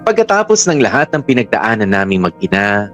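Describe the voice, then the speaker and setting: A man's voice: lowish voice, very animated, fairly quick, dull audio. A man with a Filipino accent narrating a chatty first-person radio drama story. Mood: reflective, sassy. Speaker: narrator reading the letter sender's story. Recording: music bed under dry narration.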